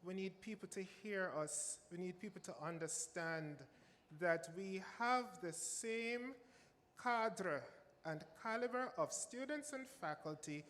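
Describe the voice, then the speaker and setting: A man's voice: sits high, takes it slowly, a bit bright. A man with a Jamaican accent, a music professor speaking on a panel, emphatic and given to repeating phrases for stress. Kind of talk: panel remarks. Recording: reverberant hall with faint ambience.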